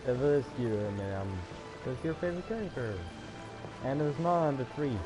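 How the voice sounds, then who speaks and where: A man's voice: medium pitch, expressive, normal speed, rough recording. A man livestreaming a game with casual commentary and wry asides to himself. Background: game music.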